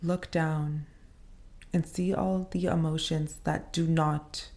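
A woman leading a guided meditation in a soothing, unhurried manner, pausing between short phrases. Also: deep voice, flat delivery, a little mellow.

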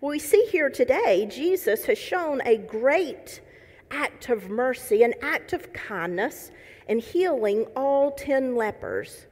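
A woman's voice: high-pitched, expressive, slow, darkish tone. A woman pastor giving a sermon, conversational and lightly humorous as she reads scripture and tells a story. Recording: long hall reverb.

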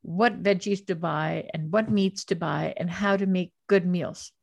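A woman's voice: fairly low pitch, fairly even intonation, unhurried, gravelly, a little mellow, dry recording. Older Austrian-born woman, earnest podcast host. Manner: earnest, enthusiastic, thoughtful. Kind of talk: casual interview conversation.

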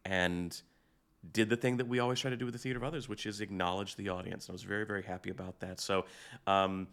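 A man hosting a podcast in a conversational interviewing style, haltingly repeating phrases as he goes. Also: low voice, fairly even intonation, fairly bright tone, smooth. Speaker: podcast host.

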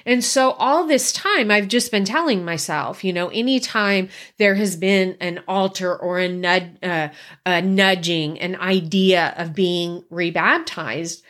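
A woman in her fifties, a life coach telling a personal story in a slow, reflective way with repeated phrases. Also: medium pitch, fairly bright tone. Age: 50s.

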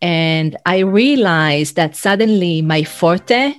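A woman's voice: fairly low pitch, animated, slow pace, soft background music. A woman from Israel whose first language is Hebrew, reflectively telling her life story as a guest over a video call, often restarting her sentences. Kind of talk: conversational storytelling.

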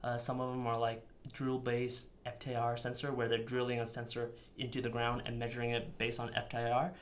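A young man lays out a technical method step by step in an interview. His voice sits at a middle pitch and stays flat and even. He speaks methodically, with only occasional fillers. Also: fairly quick. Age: young adult. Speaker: PhD student researcher.

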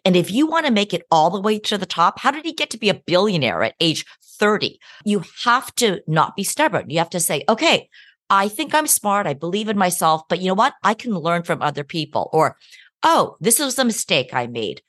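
A middle-aged woman, a law professor and author, answering interview questions in an animated way and laughing often. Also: mid-range voice, fairly quick, dry recording, studio quality. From United States.

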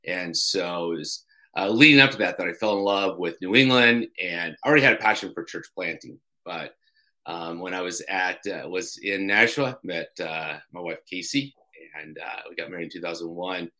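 A middle-aged man from Colorado speaks as a guest on a radio interview, his voice deep yet bright in tone. He often pauses with fillers and restarts, like a pastor telling his story. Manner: halting, many "uh"s and restarts. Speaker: pastor and church co-founder.

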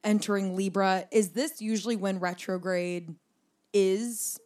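Young woman, slightly raspy low voice, rambling casual podcast chat, laughs and swears a lot. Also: fairly even intonation, unhurried, darkish tone. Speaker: podcast co-host.

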